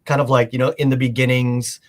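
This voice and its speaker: A man's voice: medium pitch, level tone, fast. A man chatting casually as a guest collector, explaining things informally and thinking aloud. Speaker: guest comic art collector.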